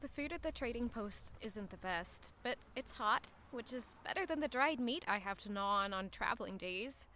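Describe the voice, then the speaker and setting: A troubled young female traveler in an audio drama. Her voice is fairly high and clearly bright, with a guarded, thoughtful manner. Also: expressive, normal speed, a little hiss or hum. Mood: brooding. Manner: introspective, polite, hesitant with strangers. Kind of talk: audio drama acting with narration.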